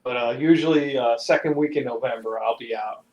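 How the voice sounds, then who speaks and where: Man, relaxed and easygoing, voice chat, roomy echoey sound. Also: mid-range voice, brisk, lo-fi sound. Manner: relaxed, easygoing, drops "man" and "you know". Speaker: tabletop game player. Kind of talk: casual chat. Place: remote call over voice chat.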